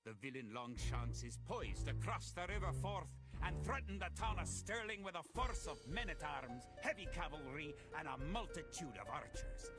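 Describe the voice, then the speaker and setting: An actor playing a grave, resolute medieval Scottish soldier, narrating a war in a dramatic, theatrical storytelling style for a game cutscene. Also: male voice, on the high side, big pitch swings, moderate pace, few pauses. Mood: ominous. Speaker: campaign narrator. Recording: music under the voice.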